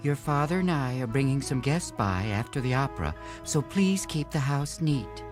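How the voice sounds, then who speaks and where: Man putting on a prim mother, raised pitch. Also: expressive, moderate pace, over music, close to an everyday voice. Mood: prim, instructing. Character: prim, instructing mother in a children's storybook.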